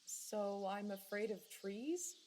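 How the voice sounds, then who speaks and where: Casting: actress for bewildered, hesitant female patient, radio theatre. Dark-toned voice over faint background noise. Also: medium pitch, slow, close to an everyday voice. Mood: uneasy. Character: frightened, bewildered young female patient in dream therapy.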